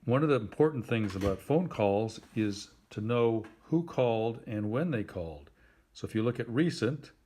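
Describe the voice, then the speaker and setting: A man giving a simple tutorial in a plain, deliberate, instructive way, like someone patiently walking a learner through each step. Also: lowish voice, animated, warm tone, a little hiss or hum. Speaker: instructor helping a phone user.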